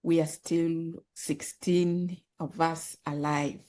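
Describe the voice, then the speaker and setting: Slow, mellow-voiced woman. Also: low-pitched, flat delivery. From Uganda. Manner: earnest, halting, repeats words and restarts often. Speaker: guest, author and nonprofit founder. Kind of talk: telling her life story in an interview.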